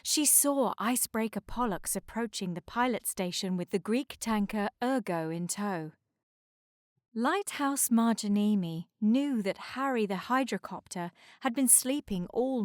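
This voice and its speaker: A woman storyteller reads a children's book aloud with measured, careful articulation. Her pitch sits in the middle range and the recording is close and dry. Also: dry recording.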